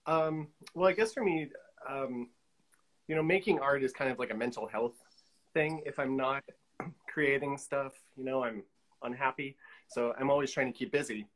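A man's voice: medium pitch, normal speed, a bit bright. A man, chatting casually as an interview guest on a video call. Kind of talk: casual chat about own work. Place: room at home, video call.

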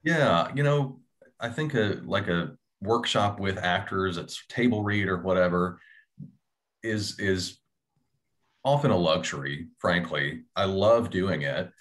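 Very deep-voiced man on a panel. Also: slow, fairly bright tone. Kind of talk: casual panel discussion. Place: small indoor room at home. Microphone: video call.

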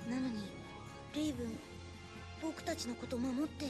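A woman's voice: high-pitched, a little fast, loud music behind the voice, natural voice. An actress voicing an earnest young boy adventurer in a Japanese video game. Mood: shaken, remorseful.

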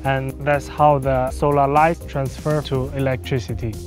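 A man's voice: medium pitch, level tone, moderate pace, over music. A man with non-native English phrasing giving a documentary interview as a solar farm engineer.

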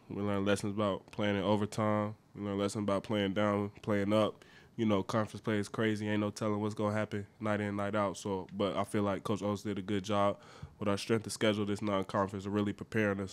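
A man's voice: lowish voice, clear, resonant tone. A young man in his twenties, a college basketball player, answering matter-of-factly at a post-game press conference, seated at a table mic. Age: 20s. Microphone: desk mic on a stand.

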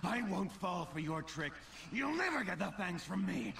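An actor playing a paranoid, frantic druid gone mad in a fantasy video game, ranting between mutters and shouts. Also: male voice, high voice, sing-song, moderate pace, gravelly, echoey room, slight background noise, full character voice. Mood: suspicious.

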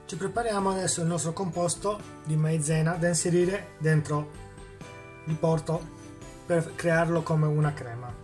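A man's voice: a little high, normal speed, darkish tone, faint music behind. A man hosting a cooking demonstration in a home kitchen, explaining each step and answering his own questions. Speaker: cooking show host.

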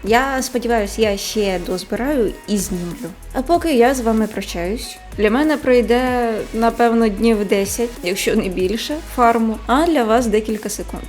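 High-voiced woman, casual gaming vlogger. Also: moderate pace, hardly any pauses, a little mellow. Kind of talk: casual gacha-pull commentary to viewers. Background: music.

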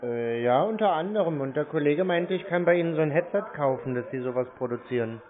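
A man's voice: on the high side, lively intonation, quick pace, background noise. A man chatting casually on the phone in speech, rambling and feigning naivety. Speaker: prank call recipient baiting phone scammers. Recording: narrow tinny phone-line sound.

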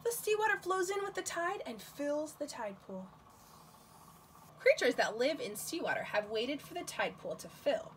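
A woman's voice: sits high, sing-song, normal speed, a little hiss or hum. A woman reading a picture book aloud to young children as their teacher, expressively, often putting questions straight to them.

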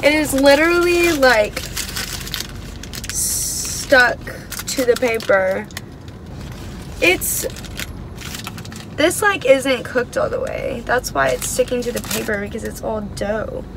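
A woman's voice: fairly high pitch, takes it slowly, vocal fry, long pauses. A young American woman chatting casually and dramatically to camera, like a vlogger telling a story. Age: young adult. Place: inside a car, partly driving. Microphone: camera mounted on dashboard. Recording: loud car and road noise.

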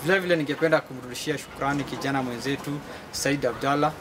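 A man's voice: medium pitch, fairly even intonation, quick pace. A young man with a Kenyan accent gives a fluent interview statement as a youth group leader. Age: young adult. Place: open dirt yard outdoors. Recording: noisy outdoor location sound.

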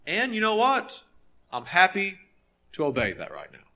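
A man in his thirties preaching a sermon as a pastor, earnest and emphatic, leaning on pauses and repeated phrases. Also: high voice, wildly swooping pitch, sharp tone. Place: nearly empty church sanctuary, behind a pulpit.

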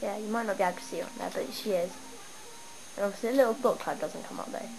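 A young woman with a stuffy cold, chatting and rambling excitedly as she shows off her game town at home. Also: mid-range voice, fast, creaky, soft background music. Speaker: gamer showing her town. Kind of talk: casual game walkthrough. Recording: noisy home camera recording. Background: steady background noise.

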